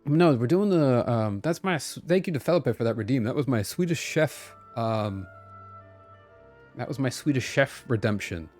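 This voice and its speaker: A man's voice: medium pitch, lively intonation, normal speed, a little mellow, soft background music. A man chatting casually as a game streamer, talking to himself and to his viewers.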